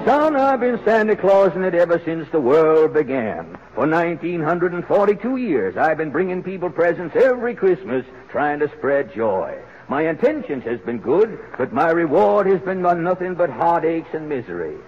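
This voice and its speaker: Stubborn old gift-bringer: folksy, put-upon, lively delivery, 1940s radio comedy, muffled noisy recording. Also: male voice, on the high side, normal speed, few pauses. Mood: aggrieved storytelling. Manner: folksy, ungrammatical, aggrieved.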